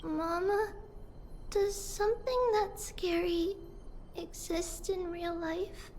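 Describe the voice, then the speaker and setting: A woman's voice: very high voice, slow, darkish tone, a little hiss or hum. A woman voicing an innocent, chatty little girl with earnest, wide-eyed delivery in a game cutscene. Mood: uneasy. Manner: earnest, childlike, simple wide-eyed storytelling. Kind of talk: voiced game cutscene dialogue. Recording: game audio under clear music.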